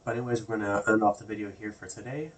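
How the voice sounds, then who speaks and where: Rambling low-voiced man vlogging. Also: steady tone, rapid-fire, fairly bright tone, recorded from a distance, slight background noise. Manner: rambling, repeats words and restarts often; loose everyday phrasing with many "like" and "basically". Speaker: video host. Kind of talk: casual political commentary vlog.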